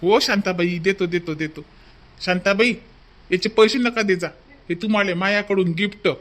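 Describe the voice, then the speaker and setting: A man's voice: high voice, moderate pace. Man voicing a brotherly village ice-cream seller. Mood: friendly. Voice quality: warm-hearted, brotherly. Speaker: cartoon voice.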